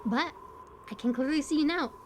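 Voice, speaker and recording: A woman's voice: sits high, fairly quick, a little hiss or hum, lightly acted. A woman playing a hyperactive, kooky young stranger in an audio roleplay, rambling and stammering through playful, slangy banter addressed to the listener. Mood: awkward, opinionated. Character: hyperactive, chatty, kooky young female cemetery stranger, teasing.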